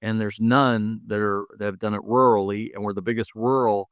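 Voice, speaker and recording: A man's voice: lowish voice, fairly even intonation, moderate pace, smooth, no room sound, studio quality. A middle-aged man from Montana with an American accent, telling his own story earnestly as an interview guest. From Montana, United States. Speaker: interview guest, hospital chief medical officer. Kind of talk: reflective personal storytelling in an interview.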